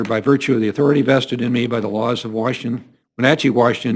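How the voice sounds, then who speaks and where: Мужчина, член городского совета, размеренно зачитывает официальное воззвание голосом средней высоты. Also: male voice, mid-range voice. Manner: steady, measured reading with small slips. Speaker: city councilmember. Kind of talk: formal reading of a proclamation.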